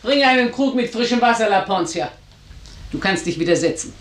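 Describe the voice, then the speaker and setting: A tyrannical matriarch played by a woman in a radio drama. She speaks at a middling pitch and commands everyone with imperious severity. The sound is roomy and echoing. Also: expressive, a little fast, a little hiss or hum, close to an everyday voice. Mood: stern, controlled. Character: stern, tyrannical mother ruling her household.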